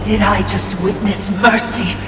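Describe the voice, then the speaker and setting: An actress playing a fawning yet vicious spirit sidekick in a video game, shrill, indignant and theatrical. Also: female voice, fairly even intonation, measured pace, lightly acted. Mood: outraged. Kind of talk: dramatic video game cutscene acting. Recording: very echoey, distant, music behind.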